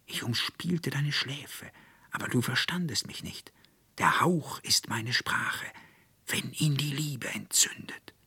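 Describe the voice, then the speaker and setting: A man voicing a tender, yearning enchanted nature spirit with slow, breathy, incantatory whispering in a storybook reading. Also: mid-range voice, animated, bright, forceful tone, dry recording, pitched above the performer's own voice, exaggerated voice.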